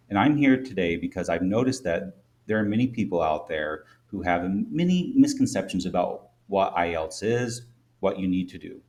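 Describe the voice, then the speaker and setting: Deep-voiced man, Midwest American accent, English teacher, clear and measured instructive read. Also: a little mellow. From United States. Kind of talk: scripted explainer lesson.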